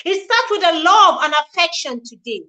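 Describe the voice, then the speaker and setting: A woman's voice: very high voice, animated, normal speed, sharp tone. A woman in her forties hosting a live relationship talk, speaking earnestly in a repetitive, preachy cadence and greeting viewers by name. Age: 40s. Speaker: relationship show host.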